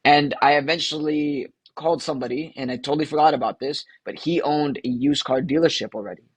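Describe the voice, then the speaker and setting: A man from the Philippines, a guest entrepreneur telling long stories in an energetic, casual interview way. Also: on the high side, a little fast, husky, no echo, poor audio.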